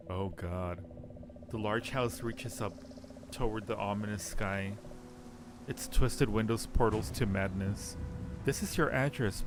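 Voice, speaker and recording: A man live streaming a game, reading its text aloud and chatting casually with viewers as he muses. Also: fairly low pitch. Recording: music playing behind the voice. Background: music.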